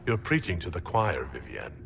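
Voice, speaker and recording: A man's voice: low voice, sing-song, normal speed, vocal fry, a bit bright, full character voice. An actor playing a composed, skeptical male hero in a video game, speaking in a dry, matter-of-fact way. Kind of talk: video game dialogue acting. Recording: noisy, long hall reverb.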